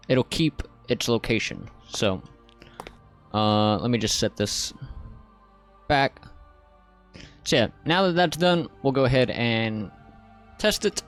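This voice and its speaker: A man narrating a software tutorial in a casual, informal, step-by-step way. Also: medium pitch. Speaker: tutorial host.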